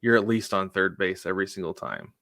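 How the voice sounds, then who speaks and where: A man's voice: low voice, a little fast, vocal fry, sharp tone, no room sound, studio quality. A man co-hosting a sports podcast in casual chat, informal and anecdotal, with plenty of conversational filler. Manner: informal, anecdotal, frequent "like" and "you know". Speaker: podcast co-host.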